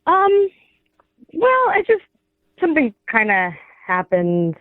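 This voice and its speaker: A woman speaks as a caller on a radio show, in an emotional personal exchange. Her voice is high, and it comes through a narrow, dry telephone line. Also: big pitch swings, takes it slowly, no room sound. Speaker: caller, wife on a radio prank call. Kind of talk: emotional phone confrontation. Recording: dry, muffled phone line sound.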